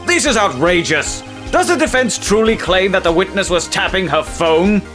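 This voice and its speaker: A man's voice: high voice, animated, normal speed, a bit bright, soft background music. A man voicing a smug, indignant male prosecutor with a haughty, scoffing manner. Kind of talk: fan dub of game dialogue.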